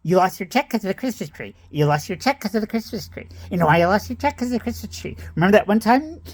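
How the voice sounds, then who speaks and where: A man's voice: high voice, expressive, fast talker, pitched above the performer's own voice. Man mimicking his nagging mother. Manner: repetitive, scolding. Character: nagging mother repeating the same complaint.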